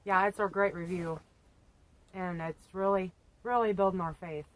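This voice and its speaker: A woman's voice: lowish voice, fairly even intonation, moderate pace, dry recording, poor audio. A woman in her thirties chatting in a blunt, self-mocking way, sitting outdoors on a sunny porch and filmed by a camera a few feet away. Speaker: homesteading vlogger. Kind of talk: casual chat. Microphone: camera on a tripod a few feet away.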